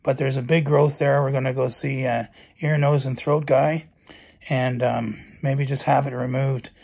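A middle-aged man with a hoarse, gravelly voice gives a calm, matter-of-fact personal update into a phone camera in his bedroom. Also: medium pitch, a little slow. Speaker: vlogger. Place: bedroom at night, indoors. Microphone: phone camera held close.

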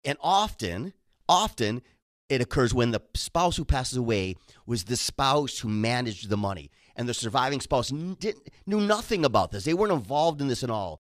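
A man explains financial scams in a conversational way, filling in with "you know" and "right?". His pitch rises and falls a lot, and the studio sound is dry. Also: big pitch swings, normal speed, dry recording. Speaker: co-host, financial instructor. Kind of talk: radio show talk.

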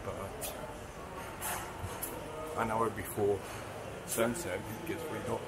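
Low-ish guy rambling on a city walk, action cam, traffic roaring behind. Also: flat delivery, slow pace. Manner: musing, offhand, talks to himself while walking. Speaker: vlogger filming a city walking tour. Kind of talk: casual walking commentary. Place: busy city street outdoors. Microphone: small action camera. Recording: loud outdoor street noise on camera mic. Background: loud street traffic and city noise.

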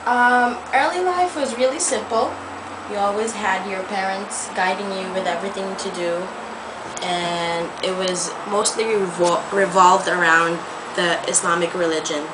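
A young woman answering interview questions in a calm, simple way. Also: medium pitch, a little slow. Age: young adult. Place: indoor living room. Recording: noisy amateur video.

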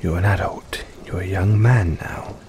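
A man playing an affectionate, self-doubting middle-aged dad in an intimate audio roleplay, speaking hesitantly and tenderly with gentle teasing. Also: sits low, fairly even intonation, a little slow. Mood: anxious, hesitant. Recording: rain-like ambience noise behind.

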